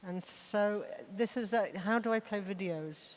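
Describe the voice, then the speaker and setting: A woman's voice: mid-range voice, unhurried. A woman giving an informal, conversational presentation as a panel speaker, wandering off into asides. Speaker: panel speaker, art biennale co-director. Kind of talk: informal spoken presentation to an audience. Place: large reverberant hall, on a stage. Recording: echoey hall with long reverb.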